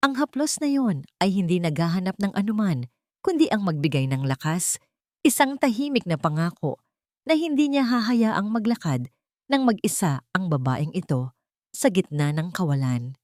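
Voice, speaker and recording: A woman's voice: fairly low pitch, big pitch swings, normal speed, darkish tone, no echo, studio quality. A woman reading a scripted, dramatic story as its narrator. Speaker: storyteller, narrator of love stories.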